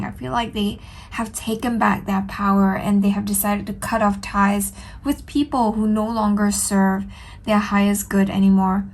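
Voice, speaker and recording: A woman sits with her cards and talks through a reading in an unhurried, earnest way. Her voice is a touch nasal and stuffy from a cold, set at an ordinary middle pitch. She fills the pauses with soft ums and keeps coming back to how she feels about the cards. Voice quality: slightly nasal. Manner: gentle, earnest, with frequent ums and "I feel like". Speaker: tarot reader. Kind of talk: solo tarot reading to camera.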